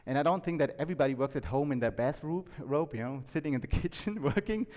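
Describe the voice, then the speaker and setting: A man giving an informal talk to an audience, conversational and halting, often restarting his sentences and checking in with questions. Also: a little high, animated, a little mellow. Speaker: conference speaker. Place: indoor talk venue, reverberant room. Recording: echoey hall with long reverb.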